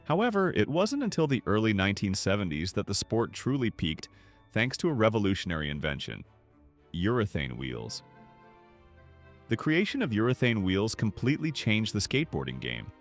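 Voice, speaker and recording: A man reading scripted documentary narration in a measured, polished storytelling manner. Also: lowish voice, wildly swooping pitch, faint music behind.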